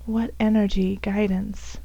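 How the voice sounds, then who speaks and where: A woman with a raspy, hoarse voice from bronchitis, softly reading tarot cards for her viewers, at times almost whispering. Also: medium pitch, flat delivery, a little slow, lo-fi sound, heavy background noise. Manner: soft, at times whispery, with fillers and restarts. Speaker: tarot reader.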